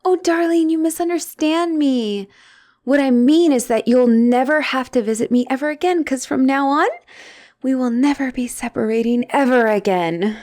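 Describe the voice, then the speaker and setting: A woman's voice: high voice, normal speed, lightly acted. A woman playing an obsessive, lovestruck asylum patient in a dramatic roleplay audio, theatrical and doting with a menacing edge. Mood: sweetly possessive. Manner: theatrical, doting, swinging between gushing and hushed menace.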